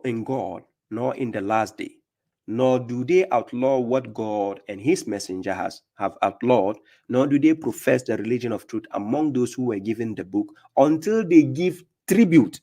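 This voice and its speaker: A man with a Ghanaian accent teaches scripture in an informal, emphatic way. He repeats phrases and keeps asking listeners whether they follow. His voice sits at an ordinary pitch for a man. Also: a little mellow. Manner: emphatic, repeats phrases, often asks "do you get my point?". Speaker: Islamic preacher.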